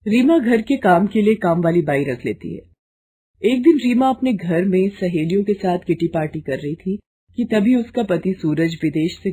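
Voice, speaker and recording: Mellow, husky woman storyteller. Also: lowish voice. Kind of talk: scripted story narration.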